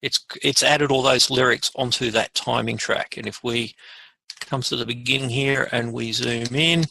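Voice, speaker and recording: A man presenting a casual software tutorial, informally thinking aloud as he demonstrates each step. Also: mid-range voice, steady tone, normal speed, creaky, rough recording. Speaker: webinar presenter.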